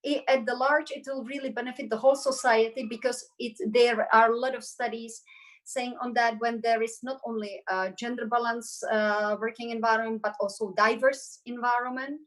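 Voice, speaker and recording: A woman's voice: on the high side, fairly even intonation, moderate pace, a bit bright, rough recording. A woman from the Czech Republic, speaking as a guest on an online panel discussion in an earnest, hesitant way with many fillers. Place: Belgium.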